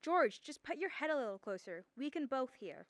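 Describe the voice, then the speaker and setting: A teenage student actress playing a spirited, devoted young sweetheart in a radio drama, in an emotive manner. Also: female voice, high-pitched, big pitch swings, fairly quick, dry recording, clean studio sound. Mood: tender, nervous.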